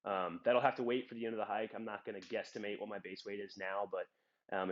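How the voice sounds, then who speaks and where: A man's voice: fairly low pitch, muffled recording. A young man with a slightly stuffed-up voice, talking casually and rambling through his hiking gear with lots of ums, filmed on a phone. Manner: relaxed, rambling with many ums. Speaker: hiking vlogger. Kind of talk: casual gear talk to camera. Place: in a trail town. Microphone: phone on a trekking-pole clip.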